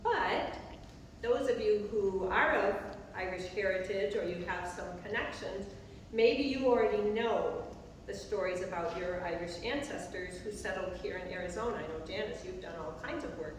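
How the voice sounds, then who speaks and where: A woman giving a measured, prepared public talk as a co-author at a library event. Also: mid-range voice, slight background noise. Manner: measured, reads figures clearly, addresses audience. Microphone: distant room mic. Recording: echoey hall recorded from far off.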